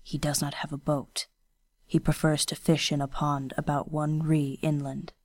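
A woman reading a quiet, stern young male ronin swordsman, speaking in low, clipped words. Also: flat delivery, moderate pace, dry recording. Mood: terse.

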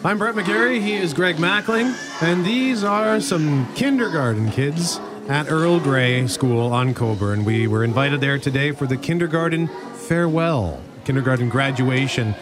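A man's voice: on the high side, measured pace, music in the background. A man co-hosting a live radio show, talking in a casual, conversational way. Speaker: radio co-host.

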